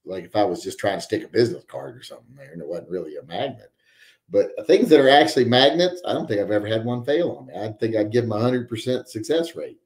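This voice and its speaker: A man's voice: fairly low pitch, very animated, fast, reverberant. A man in his forties hosting a live stream from home, reading viewer chat and rambling with wry joking asides. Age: 40s. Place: home room with guns on the wall.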